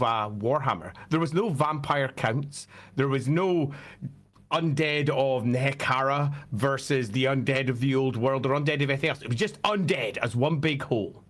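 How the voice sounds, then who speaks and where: A man with a slightly croaky, hoarse voice, talking casually and emphatically as a host explaining fan topics. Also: medium pitch, a bit bright.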